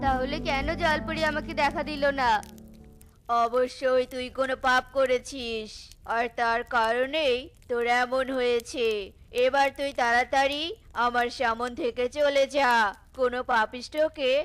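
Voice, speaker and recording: A woman's voice: sits high, fairly even intonation, measured pace, a bit bright. A woman voicing a greedy, scheming fake holy man in a cartoon, dramatic and scolding, over music. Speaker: voice actor for several characters.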